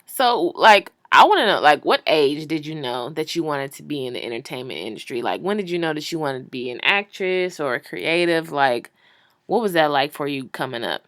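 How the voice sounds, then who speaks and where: A woman's voice: low-pitched, animated, normal speed, a bit bright, dry recording, studio quality. A woman hosting a casual podcast interview, asking curious questions in loose, conversational talk. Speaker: podcast host.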